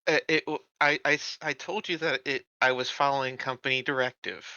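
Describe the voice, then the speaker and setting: A man's voice: medium pitch, fairly even intonation, fairly quick, sharp tone, no room sound, dull audio. A man chatting casually and roleplaying as a player in a tabletop game.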